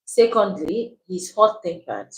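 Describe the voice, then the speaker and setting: A woman calmly explaining her side in a chat with her husband, speaking in a measured, deliberate way. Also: mid-range voice, poor audio. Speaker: wife co-hosting a couple's channel. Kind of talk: casual chat.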